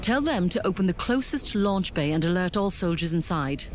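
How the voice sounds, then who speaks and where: A woman playing an imperious, gloating alien general in an animated sci-fi show, haughty, commanding and scornful. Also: on the low side, normal speed, slight background noise, close to an everyday voice. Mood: scornful, urgent. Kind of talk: melodramatic cartoon villain acting.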